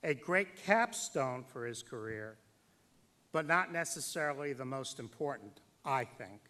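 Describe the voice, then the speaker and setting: A man giving a formal tribute speech as master of ceremonies at a banquet, speaking in a deliberate, emphatic way with dramatic pauses. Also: mid-range voice, animated, bright, forceful tone.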